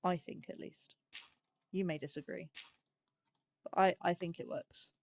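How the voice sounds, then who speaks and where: A woman's voice: fairly low pitch, fairly even intonation, a little slow, creaky, darkish tone, slight background noise. A woman giving casual let's play commentary, chatting and musing aloud in a self-deprecating way. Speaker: gaming YouTuber, host of a let's play series.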